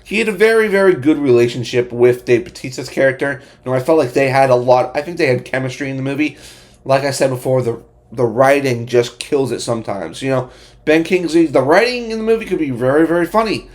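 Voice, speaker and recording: A man hosting a casual movie review, speaking bluntly in a halting, repetitive way with frequent restarted phrases. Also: medium pitch. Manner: opinionated, blunt. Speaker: host of a movie review channel.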